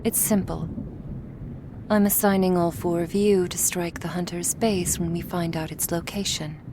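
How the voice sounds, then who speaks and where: A woman playing a cool, menacing female vampire crime boss in an ASMR roleplay, speaking in a measured, authoritative way. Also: on the low side, fairly even intonation, background noise, lightly acted. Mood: commanding.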